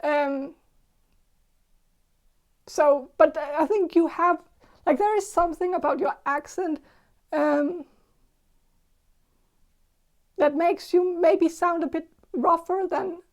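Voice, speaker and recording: High-voiced Danish-accented woman, throaty. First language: Icelandic. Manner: halting, searching for words with many restarts. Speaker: tarot reader. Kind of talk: casual tarot reading monologue.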